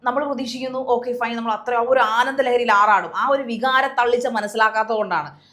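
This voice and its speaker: A woman's voice: a little high, fairly even intonation, brisk, fairly bright tone. A woman giving conversational, emphatic commentary as a discussion panelist, mixing in English words.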